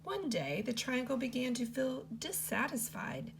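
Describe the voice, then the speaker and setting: A woman teacher reads a picture book aloud to children. Her voice has a gravelly, creaky texture and a lively, sing-song melody. A faint hum sits under her reading. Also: measured pace. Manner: expressive read-aloud with lots of pitch movement.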